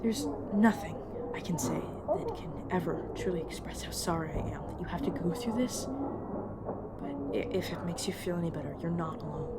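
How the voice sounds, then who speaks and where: A woman's voice: on the low side, close to an everyday voice. A woman playing a weary, apologetic supernatural agent in an audio roleplay, explaining things patiently with hesitant, casual asides. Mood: regretful, gentle. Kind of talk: narrative audio roleplay acting, addressed to listener. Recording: clearly noisy, background ambience effects.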